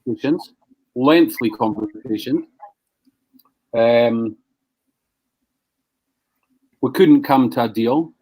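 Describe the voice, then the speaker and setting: A man's voice: medium pitch, slow, pauses often, dark tone, rough recording. Blunt swearing man ranting live. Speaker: live show host, business owner. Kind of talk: profane ranting on a live stream.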